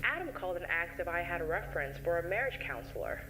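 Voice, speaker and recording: A woman playing a professional therapist recording case notes in a measured, formal, clinical manner for an analog horror drama. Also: fairly low pitch, big pitch swings, bright, forceful tone, faint music behind, low-quality recording. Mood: pleased, hopeful. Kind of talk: scripted audio-log acting in an analog horror series.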